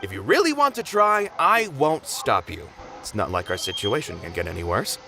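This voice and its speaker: A man's voice: medium pitch, big pitch swings, moderate pace, bright, forceful tone, exaggerated voice. A man voicing a skeptical, resigned theater troupe member in a video game. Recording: faintly noisy game capture.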